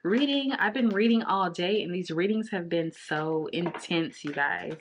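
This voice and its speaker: A woman in her thirties, a little raspy from long talking, giving a casual tarot reading to the camera from a small home office. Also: on the low side, a little hiss or hum. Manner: intuitive, repetitive, drawn-out card naming. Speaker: tarot reader.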